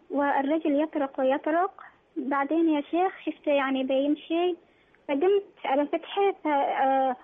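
A woman calling in by phone, asking her questions respectfully and in a halting way. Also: high-pitched. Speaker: caller. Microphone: telephone. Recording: tinny phone line.

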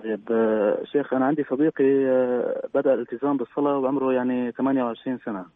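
A man calling in by phone, asking a string of questions politely and hesitantly, with many pauses and fillers. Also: medium pitch, fairly even intonation. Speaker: caller. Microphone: telephone. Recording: dry tinny phone line.

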